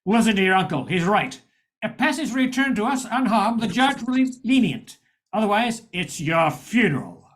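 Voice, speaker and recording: Older woman playing a lively hard-boiled private eye. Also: medium pitch, moderate pace, lightly acted. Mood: stern. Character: brisk, confident hard-boiled private detective. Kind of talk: amateur old-time radio detective acting.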